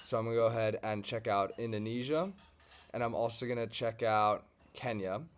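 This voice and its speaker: A man from Germany talks through a data query as he clicks along his screen. His voice sits fairly low and sounds smooth and clear. He keeps the tone loose and chatty, with many small "uh"s along the way. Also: fairly quick. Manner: relaxed, conversational, frequent "um" and "uh" fillers. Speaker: data scientist. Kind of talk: screen-share tutorial walkthrough.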